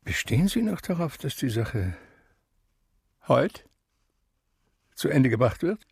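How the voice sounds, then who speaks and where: An actor playing a grave, sinister doctor in a German radio drama, speaking in a measured, solemn way. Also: male voice, medium pitch, sing-song, gravelly, a little mellow, breathy edge, no echo, full character voice. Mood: commanding, probing. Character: grave, sinister male doctor guiding a patient to death.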